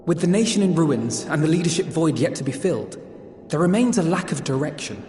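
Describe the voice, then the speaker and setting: A man's voice: on the high side, animated, brisk, warm tone, faint music behind, natural voice. An actor playing an earnest, eloquent young nobleman in a video game cutscene, in an echoey hall. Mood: grave, earnest.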